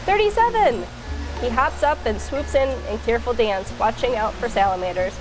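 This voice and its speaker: A woman reading a children's picture book aloud in an expressive storytelling style. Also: on the high side, fairly quick, over music, poor audio.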